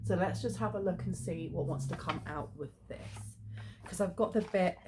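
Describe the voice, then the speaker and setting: A woman's voice: on the low side, normal speed, background noise. Sniffly woman with a head cold. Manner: chatty, many filler ums. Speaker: tarot reader. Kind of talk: solo tarot card reading.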